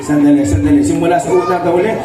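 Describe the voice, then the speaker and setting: A man's voice: a little high, steady tone, rapid-fire, music in the background. Echoey man hosting a children's puppet show. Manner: coaxing, instructing, repeats himself. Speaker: puppeteer and children's party host. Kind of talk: live comic patter for children. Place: live children's birthday party. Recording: echoey live recording, mic far off.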